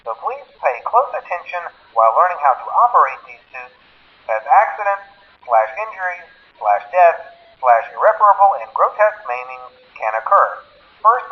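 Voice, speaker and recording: A man playing an upbeat, bumbling corporate employee trainer on a muffled telephone message in a game, giving grim warnings matter-of-factly. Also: fairly high pitch. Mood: blandly instructive. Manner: hesitant ums, cheerful matter-of-fact delivery of grim warnings. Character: male pizzeria employee trainer, upbeat, bumbling, blandly corporate phone caller. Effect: telephone and radio filter. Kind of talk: recorded workplace training message, game voice acting.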